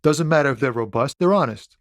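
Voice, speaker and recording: A man's voice: mid-range voice, animated, brisk, no echo, natural voice. Man acting a laid-back, soulful lorry driver, close-miked. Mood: sympathetic, easygoing. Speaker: video game voice actor. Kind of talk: conversational video game character dialogue.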